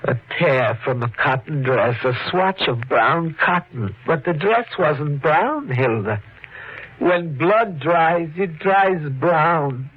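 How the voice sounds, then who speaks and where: An actor playing a haunted, guilt-ridden husband in a 1940s radio mystery, brooding and dramatic, with deliberate phrasing. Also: male voice, on the high side, expressive, slightly rough, full character voice. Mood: anguished, morbid. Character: haunted, guilt-ridden husband narrating his murderous obsession. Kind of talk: 1940s radio mystery acting, narration and dialogue. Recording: noisy, dull 1940s radio recording.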